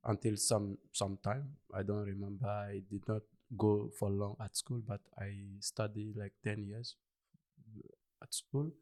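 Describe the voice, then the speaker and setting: Man, Ivorian French accent, Bambara native, lowish voice, halting English, many fillers. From Ivory Coast. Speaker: interview guest. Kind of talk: conversational interview.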